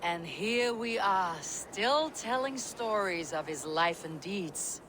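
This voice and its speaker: A woman's voice: medium pitch, lively intonation, takes it slowly, noisy background. A woman playing a dutiful Viking warrior who speaks firmly and plainly, commanding a sulking king to come home. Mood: solemn. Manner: firm, plain-spoken, commanding. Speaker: game protagonist. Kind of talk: video game cutscene acting.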